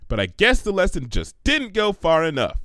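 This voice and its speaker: Man voicing a high-pitched, lecturing unicorn. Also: huge pitch swings, moderate pace, pushed and bright, dry recording, studio quality, heavily acted. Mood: exasperated. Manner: wordy, lecturing, emphatic. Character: earnest, bookish female unicorn pony, exasperated and authoritative.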